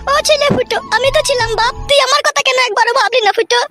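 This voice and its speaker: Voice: very high voice, very fast. A performer voicing a doting, scolding village mother in a Bengali comedy cartoon, plaintive and melodramatic. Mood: tearful. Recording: music behind the voice.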